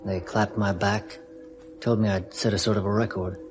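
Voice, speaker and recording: A man's voice: fairly low pitch, flat delivery, normal speed, natural voice. Detached male astronaut voiced by a man for a 1970s radio play, matter-of-fact delivery, faint music underneath. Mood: quiet. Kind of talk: 1970s radio drama acting.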